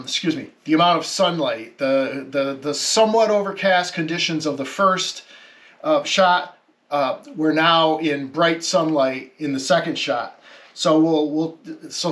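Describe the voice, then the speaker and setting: A man's voice: fairly high pitch, a bit bright. A man narrating an informal explanatory vlog as a radio hobbyist, thinking aloud in a hesitant, conversational way. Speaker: amateur radio hobbyist presenter.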